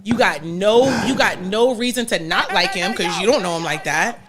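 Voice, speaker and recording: A woman's voice: mid-range voice, moderate pace, a crowd in the background. A woman giving blunt, knowing dating advice in a casual clip.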